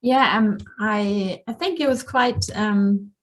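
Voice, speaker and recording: A woman's voice: medium pitch, slow pace, low-quality recording. A young woman, a trainee psychologist, explaining her path matter-of-factly with many hesitations. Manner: hesitant, filler-heavy, matter-of-fact. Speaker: guest, trainee clinical psychologist. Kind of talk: casual interview answers.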